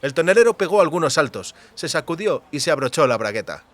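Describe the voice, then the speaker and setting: A man's voice: on the high side, sing-song, fast talker, a bit bright, dry recording. A man narrating a fantasy novel as a scripted literary audiobook.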